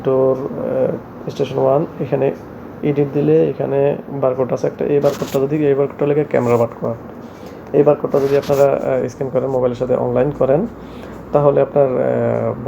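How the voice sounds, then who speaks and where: Man, creaky voice, halting tutorial delivery, medium pitch, clearly audible background hum. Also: little pitch movement, dull audio. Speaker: tech tutorial presenter. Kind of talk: hands-on product tutorial narration. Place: shop, indoors.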